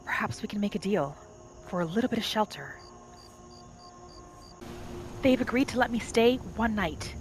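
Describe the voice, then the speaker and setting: A gentle female wood elf wanderer , voiced by a woman player, musing softly to herself as she travels through a fantasy video game. Her voice sits at an ordinary pitch for a woman and has a homesick, reflective temper. Music and rain play clearly behind her. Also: animated, moderate pace, long pauses, close to an everyday voice. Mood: friendly, hopeful. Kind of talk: first-person roleplay narration of a video game.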